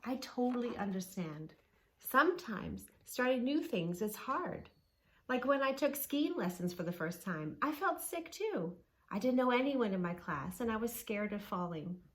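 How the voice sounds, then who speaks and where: A woman's voice: fairly high pitch, pitched above the performer's own voice. Lady reading a kind big brother kid in a storybook, earnest, reassuring, lots of up and down. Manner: encouraging, earnest, explanatory.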